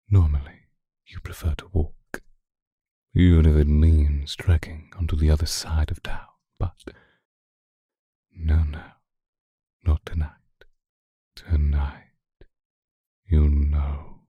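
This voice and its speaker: A man's voice: warm tone, no room sound, clean studio sound, full character voice. A man playing an obsessive, predatory vampire in an ASMR roleplay, speaking intimately with menacing courtesy and often slipping into a whisper. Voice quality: very deep, husky, often breaking into whisper. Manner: slow, intimate, menacingly courteous, drawn-out phrases. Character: obsessive, predatory male vampire stalking his beloved.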